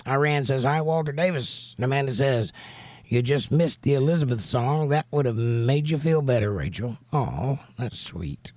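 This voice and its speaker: Hoarse American man hosting a livestream. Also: medium pitch, moderate pace, darkish tone, no echo. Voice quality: hoarse, strained. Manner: folksy, joking, preachy asides. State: laryngitis, losing his voice. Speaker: livestream host. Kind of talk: casual livestream chat, reading viewer comments.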